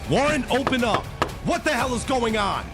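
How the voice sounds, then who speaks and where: A frantic man played by an actor calls out urgently in an audio drama. His pitch is extremely high and swooping, echoing under loud music. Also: lively intonation, normal speed. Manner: urgent, exclaiming. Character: alarmed, frantic man shouting after his cousin.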